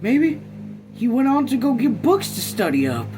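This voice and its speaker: A man's voice: extremely high pitch, big pitch swings, moderate pace, over music, pitched above the performer's own voice. A man voicing a stubborn, heartbroken young woman in a novel, with plaintive rural speech. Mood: pleading, stubborn.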